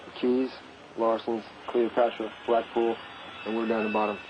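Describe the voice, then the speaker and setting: A man's voice: on the low side, level tone, moderate pace, noisy background, close to an everyday voice. A man playing a businesslike young naval officer of the deck, speaking in clipped procedural phrases in a training film reenactment. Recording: dull 1970s film soundtrack.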